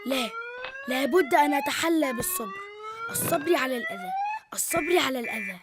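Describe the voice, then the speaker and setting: A performer voicing an earnest young boy in a cartoon, troubled but trying to be patient, over loud noise. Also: high-pitched, huge pitch swings, unhurried, exaggerated voice. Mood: exasperated, self-restraining. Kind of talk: cartoon dialogue. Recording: very noisy cartoon clip audio.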